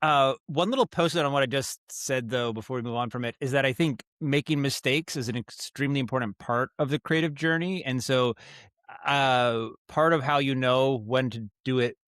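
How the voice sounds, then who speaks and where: A man speaking as a guest in a casual interview, thinking aloud haltingly and circling back on his thoughts. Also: medium pitch, fairly bright tone, clean tone, dry recording, studio quality. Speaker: podcast guest, writer and director of audio dramas.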